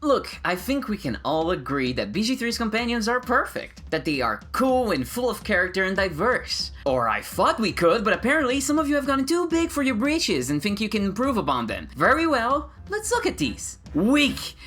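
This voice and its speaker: A woman's voice: medium pitch, very animated, normal speed, hardly any pauses, fairly bright tone. A woman giving casual, sarcastic commentary on game mods, with playful mock-dramatic rants. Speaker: gaming video creator.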